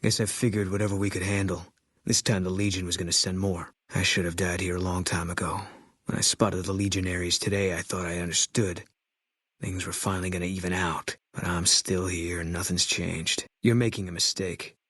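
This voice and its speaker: An actor playing a terse, stoic ex-military sniper in a video game, grim and fatalistic, with a low husky voice. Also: male voice, little pitch movement, brisk, fairly bright tone, dry recording, studio quality. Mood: stoic, weary. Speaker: video game companion character.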